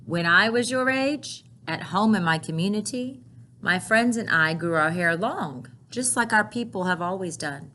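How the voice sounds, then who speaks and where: A woman voicing a gentle older grandmother who recalls her childhood in a picture book, measured and earnest. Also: medium pitch, expressive, slight background noise. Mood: wistful, proud.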